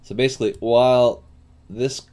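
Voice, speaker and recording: A man teaching coding in a live tutorial, thinking aloud in a halting way with pauses mid-thought. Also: mid-range voice, fairly even intonation, darkish tone. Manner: halting, pausing mid-thought while working. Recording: clear background noise, keyboard typing.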